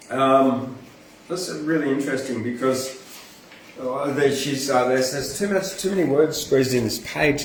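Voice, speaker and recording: An Australian man hosting an informal poetry reading, reciting verse and rambling through chatty asides as he thinks aloud. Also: medium pitch. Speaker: poetry podcast host and reader. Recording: echoey, distant mic, faint background noise.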